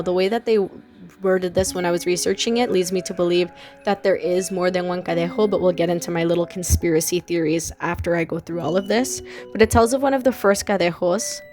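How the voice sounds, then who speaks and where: A young woman talks through a folk legend in a casual, chatty way, dropping fillers and amused asides. Her voice sits at an ordinary middle pitch, close to a home mic with some pops, and faint music plays underneath. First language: English. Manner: chatty, laughs at odd details, many fillers. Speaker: folklore channel host. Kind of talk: narrated explainer with casual asides. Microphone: close home mic with audio pops.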